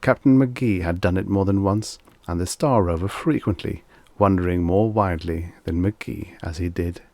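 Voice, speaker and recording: A man reading a scripted short story as an audiobook narrator, in a measured, expressive storytelling manner. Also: sits low, no room sound.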